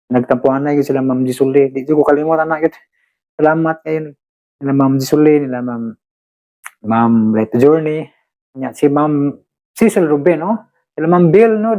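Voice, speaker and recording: A man's voice: on the high side, darkish tone, rough recording. A man with a Cebuano Filipino accent chatting casually to his listeners as host, rambling and hesitant, often repeating himself. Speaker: host and drama uploader. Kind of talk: casual chat to listeners, shout-outs.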